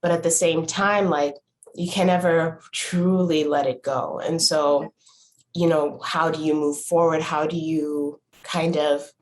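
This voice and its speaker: A woman's voice: low-pitched, fairly even intonation, a little slow. A woman hosting a podcast, talking in a casual, reflective way with lots of "like" and "you know" as she thinks aloud. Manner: thoughtful, searching, filler-heavy with 'like' and 'you know'. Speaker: podcast host.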